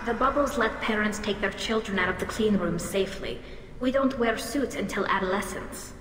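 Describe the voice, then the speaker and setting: An actress playing a young, earnest alien engineer in a video game, speaking precisely and a little defensively. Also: female voice, mid-range voice, moderate pace, fairly bright tone, natural voice. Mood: composed. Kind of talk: video game dialogue acting. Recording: echoey reverberant space, music behind.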